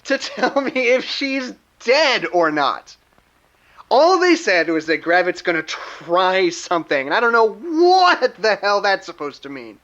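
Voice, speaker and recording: A man acting in an audio drama as an exhausted, anxious confined man who rambles haltingly and stammers over his words. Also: high-pitched, very animated, fairly bright tone, highs cut off, full character voice. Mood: distressed, desperate. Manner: halting, stammering, repeating himself.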